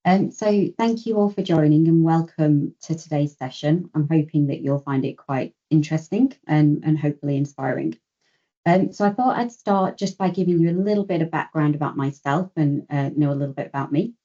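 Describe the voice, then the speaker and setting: A woman's voice: sits low, a little fast, warm tone. A woman, giving a friendly, chatty talk over a video call. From Bolton, England. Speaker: guest speaker. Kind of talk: presentation over a webinar. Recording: dull lo-fi video call audio.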